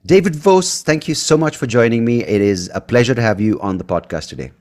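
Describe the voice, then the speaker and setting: A man's voice: mid-range voice, fairly quick, darkish tone, faint music behind. A man hosting a podcast, talking casually and off the cuff in a rambling, hesitant way as he thinks aloud. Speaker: podcast host. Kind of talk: casual, unscripted podcast monologue and chat.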